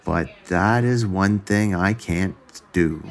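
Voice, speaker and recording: Man reading as earnest, slow-spoken storybook hare. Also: low voice. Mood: worried. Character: earnest, helpful young male hare. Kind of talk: children's storybook character voice.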